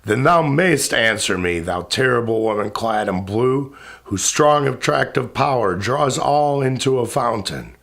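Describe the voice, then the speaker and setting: A man's voice: medium pitch, lively intonation, measured pace, gravelly, few pauses, a bit bright, heavily acted. A man playing a wrathful, sorrowing ancient spirit king in a recited epic poem, speaking in a grand, commanding, declamatory way. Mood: imperious. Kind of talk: dramatic epic verse recitation.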